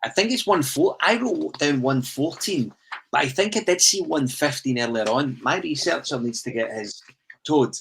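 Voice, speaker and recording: A man's voice: on the high side, lively intonation, moderate pace, vocal fry, fairly bright tone, slight background noise. A Scottish man hosting a football podcast, steering the talk and reading out listener comments with frequent "um"s. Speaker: podcast host. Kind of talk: casual football chat.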